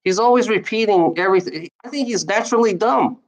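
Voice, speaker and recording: A woman's voice: mid-range voice, quick pace. Streamer woman with a stutter; rambling, profane, repetitive rant to chat. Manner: repetitive, restarts phrases, profane.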